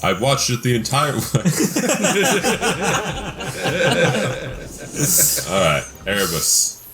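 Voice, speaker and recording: A man's voice: mid-range voice, huge pitch swings, drawn-out words, over a noisy crowd. A man playing a tabletop game with casual banter. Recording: noticeable background noise with clicks.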